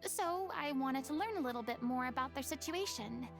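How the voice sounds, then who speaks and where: An actress playing a proud, expressive young woman in a video game, with an earnest, theatrical way of talking. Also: high voice, brisk, vocal fry. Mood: reflective. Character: expressive, proud young woman, former deity turned ordinary. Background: music.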